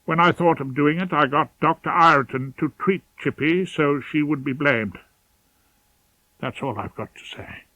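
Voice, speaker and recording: A man voicing a resigned, hopeless woman, a mother, in an audiobook, quiet and hoarse. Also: on the high side, moderate pace, dry recording, poor audio. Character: subdued, hopeless, resigned mother confessing in court. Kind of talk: audiobook character voice.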